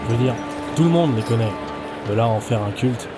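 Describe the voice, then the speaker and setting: A man playing a gruff, secretive adventurer in a fantasy audio drama. His delivery is curt and dry, at a medium pitch, with music clearly underneath. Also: lively intonation, brisk, lightly acted. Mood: irritated. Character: gruff, secretive male travelling companion, easily irritated. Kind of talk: French fantasy audio fiction dialogue.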